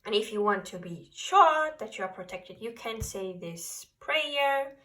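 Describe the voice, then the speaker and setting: A woman giving a pick-a-card tarot reading in a gentle, reassuring way, speaking English as a second language. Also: medium pitch, lively intonation, a little slow, distant mic. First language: not English. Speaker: tarot reader.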